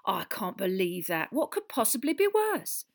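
A woman voicing a chatty, curious female pub landlady, gossipy and expressive, in a storybook. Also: extremely high pitch, normal speed, voice pushed up, full character voice.

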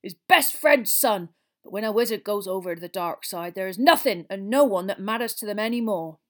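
A woman voicing a gruff, loyal giant man in a storybook, with a growling, rough and folksy manner. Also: mid-range voice, expressive, a little fast, pitched above the performer's own voice, lightly acted. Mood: bitter.

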